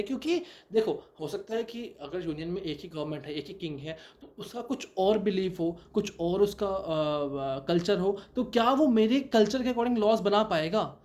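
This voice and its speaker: A man's voice: high-pitched, darkish tone. A young man giving a law lecture, asking rhetorical questions and answering them himself, with many English terms mixed in. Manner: mixes English terms into Hindi. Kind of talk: explanatory lecture.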